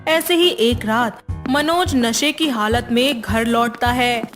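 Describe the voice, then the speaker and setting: A woman narrating a scripted family story in an even, measured way, with soft music underneath. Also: a little high.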